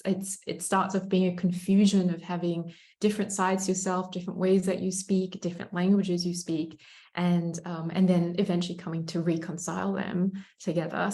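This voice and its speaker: A woman from Singapore speaking thoughtfully as a mediator on a panel, pausing often with ums. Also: fairly low pitch, a little mellow. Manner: thoughtful, many pauses and ums. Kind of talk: reflective panel talk.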